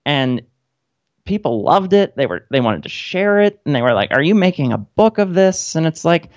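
A woman's voice: deep voice, big pitch swings, normal speed, darkish tone, no room sound. A woman who is a guest on a radio interview, chatting casually with a wry manner. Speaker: guest, independent author and grad student. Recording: dull, lo-fi line.

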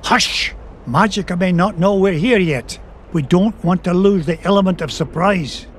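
An actor playing a crusty, determined elderly duck tycoon in a cartoon game, crotchety and emphatic, with a Scottish accent. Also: male voice, high-pitched, moderate pace, a little hiss or hum, lightly acted. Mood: alarmed, accusing.

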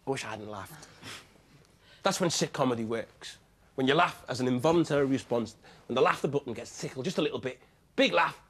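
A young man with a Liverpool accent answering audience questions in a TV studio, animated and jokey, laughing often and cutting in. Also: medium pitch. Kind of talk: lively studio discussion. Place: television studio with seated audience.

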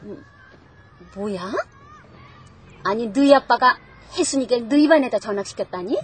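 A woman's voice: on the high side, lively intonation, moderate pace, slightly rough. An actress playing a materialistic, snobbish middle-aged mother in a TV drama, theatrical and exclamatory, with some background noise. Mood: indignant. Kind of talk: television children's drama acting.

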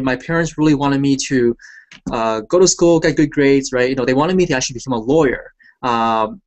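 A man's voice: mid-range voice, poor audio. Chatty man. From Hong Kong. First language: Cantonese. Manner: relaxed, chatty, lots of fillers like "you know" and "right?". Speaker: hangout host. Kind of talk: casual personal story on a video call. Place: small room indoors. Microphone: headset on video call.

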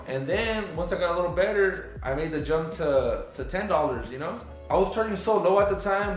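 A man in his thirties, a barber, giving casual advice in a relaxed, rambling way, full of fillers and restarts. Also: fairly high pitch. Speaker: barber and channel host. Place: small barbershop, indoors. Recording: echoey shop room with faint music.

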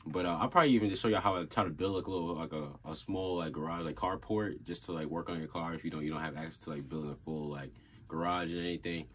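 A young man with a low, slightly husky voice talks straight to a desk camera in a small room at home. He rambles in a relaxed, easygoing way, with plenty of fillers and restarts, like he is catching up with his viewers. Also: quick pace. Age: young adult. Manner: laid-back, rambling, many fillers and restarts. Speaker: car channel host. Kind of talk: casual vlog update.

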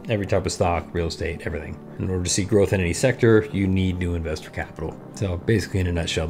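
A man's voice: low voice, vocal fry. A man in his thirties chatting frankly through a screen-share review as a video host, with many casual fillers, recorded on a desk mic in a small lit room. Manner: chatty, frank, fillers like "you know" and "right?". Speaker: crypto investing YouTuber. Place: small room with purple and blue lights.

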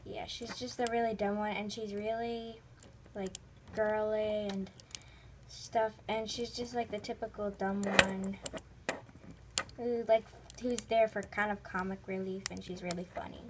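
A woman's voice: medium pitch, fairly even intonation, a little slow, noisy background. A woman narrating a casual vlog in a rambling, informal way that tends to trail off, recorded indoors on a handheld phone. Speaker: host of a toy video channel. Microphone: phone held near the speaker.

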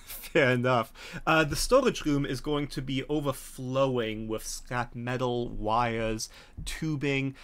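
A man's voice: medium pitch, steady tone, smooth. Man, game master, mid-range voice, hesitant phrasing, scenario narration. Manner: hesitant, halting explanation. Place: small home room, video call.

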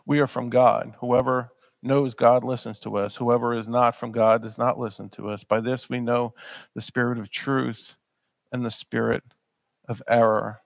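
A man's voice: mid-range voice, level tone, normal speed, creaky, no room sound. A man in his thirties preaching a sermon in a church, earnest and emphatic, often repeating words as he presses a point. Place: church sanctuary, speaking at a pulpit.

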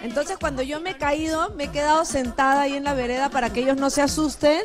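A high-pitched woman in her thirties chats in a lively, storytelling way on a live outdoor TV link. She speaks into a handheld mic on a street. A faint music bed runs underneath. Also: fast talker. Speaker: TV show host on a live remote link. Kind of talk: casual live TV chat.

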